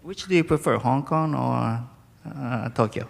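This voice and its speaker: A man's voice: medium pitch, measured pace, gravelly. A man moderating a student panel, casual and hesitant with many fillers. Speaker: discussion moderator. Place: large hall with audience. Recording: echoey hall, audience faint.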